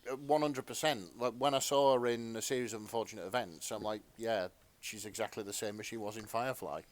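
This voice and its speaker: A man's voice: on the low side, fairly quick. A man co-hosting a podcast, chatting casually in a hesitant, self-correcting way. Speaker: podcast co-host. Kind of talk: casual chat.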